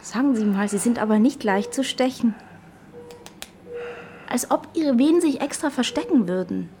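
A woman's voice: fairly high pitch, lively intonation, normal speed, mellow, slight background noise, natural voice. An actress playing a young, stubborn and forthright female nurse in a radio drama. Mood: brisk, teasing.

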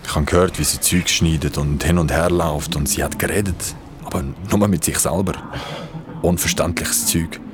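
A man's voice: low voice, fairly even intonation, normal speed, lightly acted. A man playing a stranded, injured young hiker with a Swiss accent, narrating and speaking in a radio play. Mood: creeped out. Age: young adult. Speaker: narrator and lead character. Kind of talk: first-person radio play narration and dialogue.

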